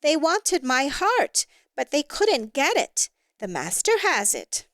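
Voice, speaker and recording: A woman voicing a quiet, simple captive boy in a storybook tale, plain and earnest. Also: sits high, big pitch swings, slow, no room sound, clean studio sound, voice pushed up, heavily acted. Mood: earnest, weary. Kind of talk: storybook character voice in audio theatre.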